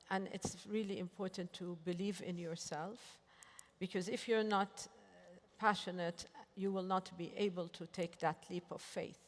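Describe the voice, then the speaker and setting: A woman bank executive speaking earnestly on a panel, often restarting her sentences. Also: fairly low pitch. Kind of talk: panel discussion. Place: large hotel conference hall. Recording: reverberant conference hall.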